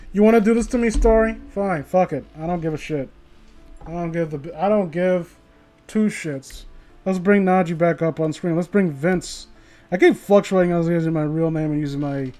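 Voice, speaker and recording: Male livestreamer, high-pitched, tipsy and foul-mouthed, loose rambling chat and reading, music behind. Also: warm tone. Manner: rambling, profane, opinionated. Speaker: livestreamer reading online horror stories.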